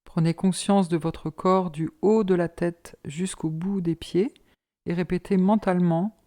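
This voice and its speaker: Woman, yoga nidra instructor, very slow pace, soft mellow tone, calm guided meditation. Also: lowish voice. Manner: calm, measured, soothing instruction.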